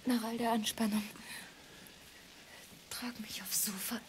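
A woman's voice: fairly high pitch, steady tone, a little slow, noisy background, close to an everyday voice. An actress playing a devoted, passionate young woman in a radio play, weak and exhausted, speaking breathily and intimately. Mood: relieved, weak.

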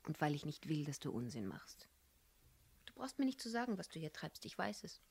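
A woman's voice: low voice, big pitch swings, a little fast, gravelly, darkish tone, slightly rough, full character voice. An actress playing an earnest, determined young woman in a German radio crime drama, speaking intimately and insistently.

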